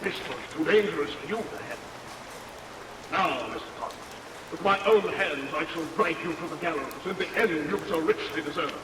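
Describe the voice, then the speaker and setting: An actor playing a bold, righteous sailor hero with stern, declamatory delivery in vintage radio melodrama. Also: male voice, a little high, normal speed, echoey room, big-room sound, far from the mic, lightly acted. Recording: old radio recording, clear rain noise.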